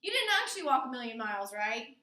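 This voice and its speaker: Woman, classroom teacher, bright high voice, explanatory, question-checking, roomy echo. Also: big pitch swings, fast. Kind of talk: classroom lesson on figurative language. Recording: roomy echo, distant microphone.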